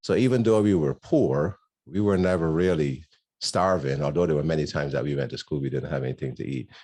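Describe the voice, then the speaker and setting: An older man from Barbados, a guest speaker telling his life story in a rambling, storytelling way with many pauses. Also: very deep voice, breathy edge. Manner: warm storytelling with frequent ums and laughs. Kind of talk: personal testimony talk.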